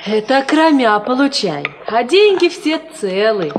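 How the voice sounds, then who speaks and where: A woman's voice: on the high side, sing-song, measured pace, slight background noise. An actress playing a plain-spoken, simple peasant woman in a 1950s Soviet radio play. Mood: matter-of-fact.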